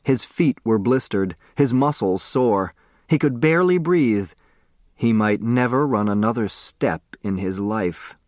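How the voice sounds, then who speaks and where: Male narrator for fiction: expressive storytelling, crisp phrasing, very dry sound. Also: medium pitch, a little slow, no room sound. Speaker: audiobook narrator.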